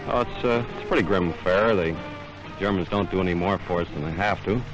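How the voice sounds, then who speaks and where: Guy in an old 40s interview, deep voice, understated and hesitant, muffled dull sound. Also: a little fast, music in the background. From Canada. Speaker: war correspondent, freed prisoner of war. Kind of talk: filmed interview answers. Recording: noisy, muffled 1940s field newsreel recording.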